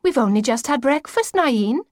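A woman's voice: sits high, expressive, moderate pace, dry recording, studio quality, pitched above the performer's own voice. A woman voicing a puzzled, dutiful nine-year-old farm boy in an audiobook.

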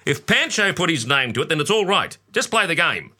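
A man's voice: on the high side, sing-song, brisk, pushed and bright, slightly rough, pitched above the performer's own voice, heavily acted. A man with an Australian accent voicing a blunt, swaggering working-class father who swears casually. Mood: blustery. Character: rough, blustery working-class dad.

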